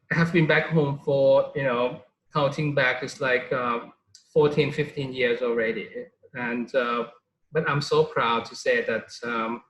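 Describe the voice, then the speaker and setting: A man's voice: mid-range voice, a bit bright, echoey room, poor audio. A middle-aged man from Thailand, a neurologist answering interview questions with frequent "you know" fillers and restarts.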